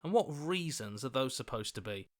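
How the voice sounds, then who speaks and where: A man's voice: medium pitch, expressive, normal speed, lightly acted. A man playing an anxious, earnest hero in a video game, questioning and pleading like a voice of conscience. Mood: indignant, pleading. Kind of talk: video game character voice acting.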